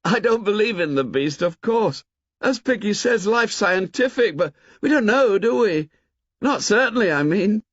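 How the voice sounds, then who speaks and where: An eager young boy tumbles over his words, voice pitched high and bright. A man performs him in a reading. The sound is dull and dry. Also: animated, normal speed, dry recording. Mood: excited. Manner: rambling, earnest, then laughs gaily.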